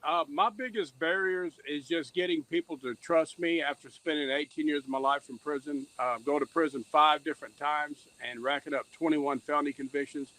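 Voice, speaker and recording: Higher-voiced middle-aged man, candid guest. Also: moderate pace, few pauses, fairly bright tone, low-quality recording. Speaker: podcast guest, reentry advocate. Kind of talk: candid conversational interview answers.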